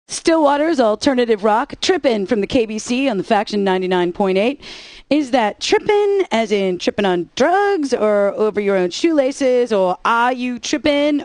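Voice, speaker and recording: A woman's voice: mid-range voice, a little mellow, no room sound, close to an everyday voice. A woman playing a sassy, teasing rock radio DJ who reads song intros in dry, scripted banter.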